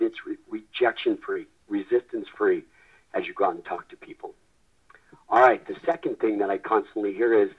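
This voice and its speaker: A man presenting a sales webinar, his voice raspy from a head cold, talking earnestly with frequent restarts. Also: on the low side. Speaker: webinar presenter, company founder. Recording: tinny phone line.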